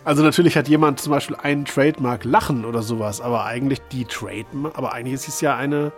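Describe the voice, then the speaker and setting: A man's voice: mid-range voice, quick pace, soft background music. A man chatting casually as a podcast guest, musing and explaining his points in a tentative way.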